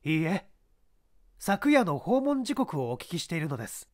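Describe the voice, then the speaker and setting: A man voicing a polite, persistent detective who questions suspects in a measured way in an audiobook. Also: on the high side, very animated, pitched below the performer's own voice. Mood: pressing. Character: composed, probing male detective questioning a suspect. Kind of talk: dramatised audiobook reading.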